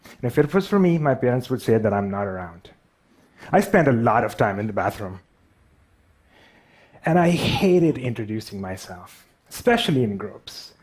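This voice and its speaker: A man's voice: mid-range voice, big pitch swings, moderate pace, a little mellow, breathy edge. A man with a Pakistani accent telling a personal story, a speaker who stutters and pokes fun at himself. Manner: stutters, self-deprecating. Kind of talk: storytelling talk.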